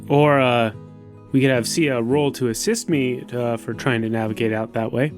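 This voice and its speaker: A man's voice: medium pitch, steady tone, moderate pace, faint music behind. A man playing a tabletop role-playing game, chatting casually and thinking aloud as he improvises.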